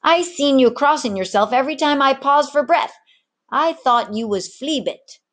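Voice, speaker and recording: A woman voicing a scheming, bossy male pirate with one arm, talking in rough sailor slang for a storybook. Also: high-pitched, lively intonation, moderate pace, a bit bright, voice pushed up. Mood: contemptuous.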